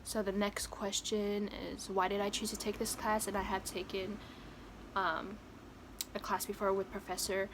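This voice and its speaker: A woman's voice: medium pitch, level tone, normal speed, background noise. Young woman from American Samoa, hesitant. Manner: hesitant, many ums, plain and friendly. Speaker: student. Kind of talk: casual video assignment answers. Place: home in San Francisco.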